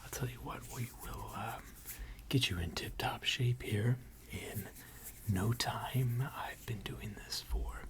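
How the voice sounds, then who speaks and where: A person whispering an ASMR repair roleplay in a breathy, gentle and reassuring way, with unhurried, hesitant pauses. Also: medium pitch. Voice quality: breathy, mostly unvoiced whisper. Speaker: ASMR creator role-playing a robot repair technician.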